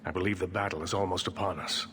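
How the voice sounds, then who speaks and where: Here is a brooding fighter in a video game, a grim and skeptical man. His low voice is measured and formal. Long reverb and faint ambience surround it. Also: steady tone, a bit bright, slight background noise. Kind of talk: dramatic video game cutscene acting.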